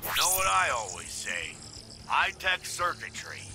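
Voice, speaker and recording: A man voicing a gruff, plain-spoken veteran robot soldier in a video game. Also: fairly high pitch, sing-song, unhurried, noisy background, full character voice. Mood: gruff, easygoing.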